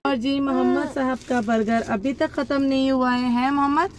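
A woman's voice: high voice, steady tone, normal speed. A woman with a Pakistani accent chatting casually as a family vlogger into a phone. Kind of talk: casual vlog chat. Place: inside a car, restaurant and shop. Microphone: phone at arm's length. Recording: loud car and street background noise.

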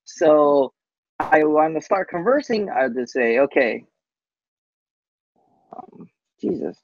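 A man giving a casual live software demo on a team call, speaking haltingly and often tacking "basically" onto sentences. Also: on the high side, expressive, dry recording. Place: coworking space, on a group video call. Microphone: video call microphone. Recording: muffled video call audio.

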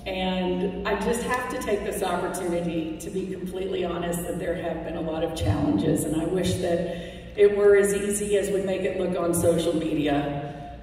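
A woman radio host speaks at a podium, heartfelt with wry asides as she thanks people. Her voice sits at an ordinary pitch and is heard from far off through the audience. A long, booming reverb fills the big banquet hall, with faint room ambience beneath. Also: normal speed, mellow, a little hiss or hum. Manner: heartfelt, with wry jokes and lists. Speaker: radio host accepting an award. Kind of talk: acceptance speech. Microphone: podium microphone, recorded from the audience. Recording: echoey hall recorded from far off.

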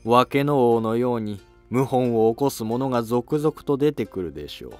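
A man voicing a humble, resigned elderly scholar-official in an animated historical drama, courteous, measured and wry. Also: medium pitch, soft background music, close to an everyday voice.

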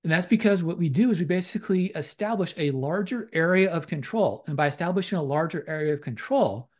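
A man's voice: fairly high pitch, expressive, fairly quick, a little mellow. A man talks through a slide lecture in a slightly raised voice. He is methodical and academic, circling back over the same technical terms as he explains. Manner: methodical, repetitive academic phrasing. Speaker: exercise science lecturer.

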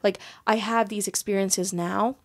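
Young woman host, thoughtful and gentle, casual talk, middle pitch. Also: steady tone, a little slow. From United States. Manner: reflective, soft-spoken, many fillers. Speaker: podcast host. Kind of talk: casual conversation.

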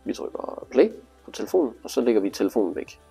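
A man's voice: mid-range voice, big pitch swings, vocal fry, dark tone, breathy edge, soft background music. A man giving a casual, hands-on tutorial, explaining things informally step by step. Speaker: instructor demonstrating motorcycle display.

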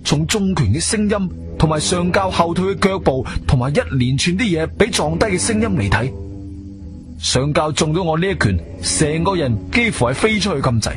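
A man's voice: a little high, animated, moderate pace, close to an everyday voice. An actor with a Cantonese accent playing an adventurer hero who narrates his own ordeal as dramatic scripted storytelling. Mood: tense, grim. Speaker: first-person narrator. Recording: background music under the voice.

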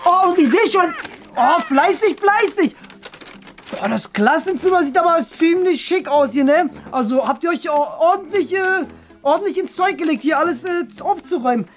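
A man's voice: very high-pitched, lively intonation, normal speed. A man playing a chatty, rambling father who dotes on his son and boasts about him in an improvised comedy sketch. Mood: chatty, enthusiastic. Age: young adult. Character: chatty, boastful, doting father visiting his son's classroom. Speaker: performer in a school comedy sketch. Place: small bright classroom indoors.

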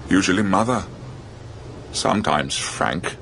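An actor playing a fussy, oblivious old-fashioned English ghost gentleman in a radio drama, with a British accent and polite chummy manner. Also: deep voice, lively intonation, unhurried, creaky, slight background noise, full character voice. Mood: genial, fussy. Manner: polite, dated upper-class chumminess.

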